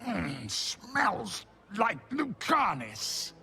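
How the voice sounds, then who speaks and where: Slow, creaky, possessive demon spirit. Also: male voice, sits high, huge pitch swings, sharp tone, full character voice. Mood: curious, eager. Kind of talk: video game voice acting.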